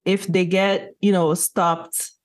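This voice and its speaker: A woman's voice: on the low side, flat delivery, takes it slowly. A woman, a podcast co-host, talking casually in an earnest, opinionated way and building her points with rhetorical questions. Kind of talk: casual discussion.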